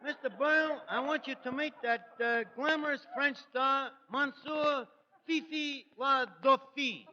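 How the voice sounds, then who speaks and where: A slangy New York tavern manager, very high for a man and lively in pitch, hustling through hesitant, word-mangling sales patter. It is 1940s radio comedy on a very noisy old recording in an echoing hall. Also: a little slow, muffled recording. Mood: boastful pitching.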